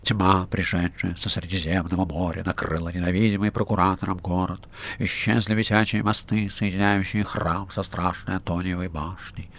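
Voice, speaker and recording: Guy doing a sly rude little red-haired rogue, deep offhand voice. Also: level tone, moderate pace, few pauses, fairly bright tone. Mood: sneering. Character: short red-haired fanged man, brash and cheeky. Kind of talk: audiobook character reading.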